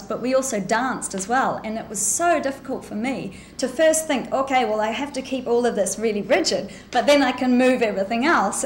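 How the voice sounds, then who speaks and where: A woman's voice: on the high side, slight background noise. A woman with a New Zealand accent chatting enthusiastically as a musician being interviewed. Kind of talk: casual interview. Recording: echoey room.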